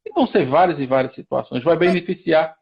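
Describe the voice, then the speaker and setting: A man's voice: fairly high pitch, expressive, fairly quick, gravelly, slightly rough, lo-fi sound. A man with a Pernambuco accent from Recife, a lawyer guest on a live stream, explaining procedures methodically step by step with frequent restarts. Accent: Pernambuco Brazilian Portuguese. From Recife, Brazil.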